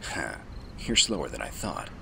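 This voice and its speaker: Very deep gravelly soldier. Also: male voice, moderate pace, background noise, exaggerated voice. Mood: dry, stern. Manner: curt, dry, deadpan. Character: gruff, terse, stoic veteran male soldier. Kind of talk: radio drama acting.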